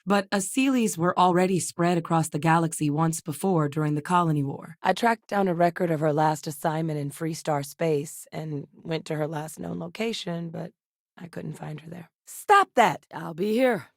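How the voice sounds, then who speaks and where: A woman playing a seasoned ex-military scientist in a video game, steady and earnest, with a measured, serious delivery. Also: low-pitched, gravelly, no room sound, clean studio sound. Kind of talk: video game character voice lines.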